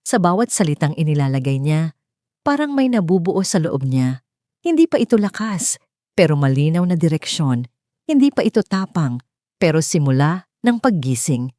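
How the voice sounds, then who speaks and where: A female narrator with a deep, soft-toned voice reads a dramatic story. Her delivery is measured and solemn, yet the pitch moves widely. The sound is dry and close. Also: dry recording.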